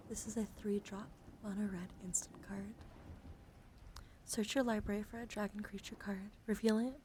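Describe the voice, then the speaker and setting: A woman streaming ASMR, reading aloud slowly and soothingly in a breathy whisper and soft murmur. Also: mid-range voice, background noise.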